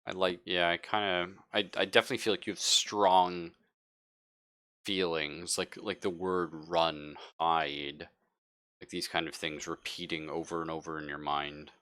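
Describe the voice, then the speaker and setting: A man's voice: low-pitched, little pitch movement, measured pace, pushed and bright. A man acting as game master, narrating a tabletop game in a casual way.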